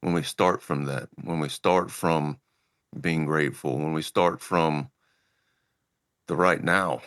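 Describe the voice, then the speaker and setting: A man hosting a podcast, relaxed and easygoing as he steers the conversation. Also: very deep voice, slow pace, dry recording, clean studio sound. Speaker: podcast host. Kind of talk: casual conversation and show outro.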